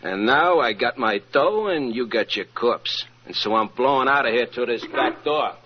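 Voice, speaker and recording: A thuggish hired killer from an old radio crime show. His voice is husky and mid-pitched, his talk cocky and full of tough slang. The recording is dull and muffled. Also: expressive, normal speed.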